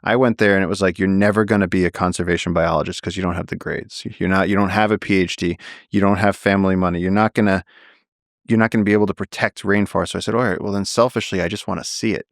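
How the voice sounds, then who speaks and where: Earnest male guest, low voice, animated storytelling, dry close mic. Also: fast talker, no echo. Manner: earnest, animated, many restarts. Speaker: guest, naturalist and explorer. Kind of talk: conversational storytelling.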